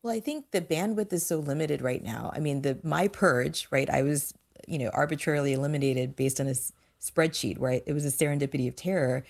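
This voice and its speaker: A woman's voice: deep voice, brisk, gravelly, a little mellow. A woman speaking as a former diplomat on a panel, giving an earnest, emphatic personal account.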